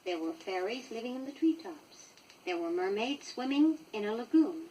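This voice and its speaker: An American woman reading a children's story from a script, expressive and clearly paced for young listeners, on a 1960s vinyl record. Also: fairly high pitch, normal speed, recorded from a distance, slight background noise, poor audio. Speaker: storybook reader.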